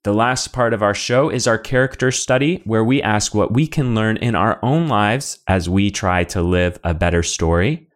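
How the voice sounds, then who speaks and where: Low-voiced upbeat male podcast host. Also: moderate pace. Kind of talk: scripted podcast presenting.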